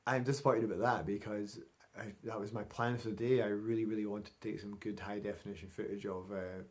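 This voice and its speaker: A middle-aged man with a fairly low voice recaps things for his vlog. He talks in a chatty, informal way, wandering from one thought to the next as if speaking to friends. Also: level tone, fairly quick, darkish tone. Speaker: vlogger. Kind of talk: casual vlog recap to camera.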